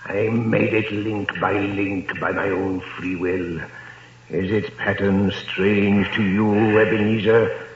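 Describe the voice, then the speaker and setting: An actor voicing the ghost of a dead business partner, who intones slowly and solemnly in a 1930s radio drama. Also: male voice, on the low side, little pitch movement. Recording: echoey, dull 1930s radio broadcast with faint noise.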